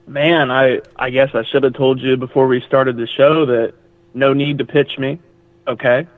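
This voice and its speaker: A man's voice: mid-range voice, flat delivery, moderate pace, no room sound. A man calling in as a podcast guest, chatting casually and making self-deprecating jokes about himself. His voice comes through a dry, tinny phone line with no room sound.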